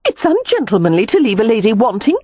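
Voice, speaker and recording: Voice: medium pitch, big pitch swings, a little slow, a little mellow, husky, dry recording, voice pushed up. A narrator voicing a haughty, amorous young lady in an audiobook, heated, demanding and dramatic in manner. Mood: heated pleading. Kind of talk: audiobook character dialogue.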